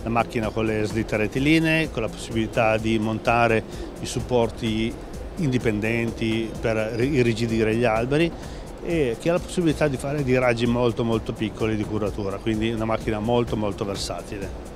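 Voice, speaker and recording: A fairly low-voiced man in his fifties answers an interviewer at a busy trade fair stand, talking into a handheld mic. He hesitates often and restarts his phrases. Music plays clearly beneath him. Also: steady tone, normal speed. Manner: hesitant, many fillers and restarts. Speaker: company president interviewed. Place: busy exhibition hall stand. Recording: background music under voice.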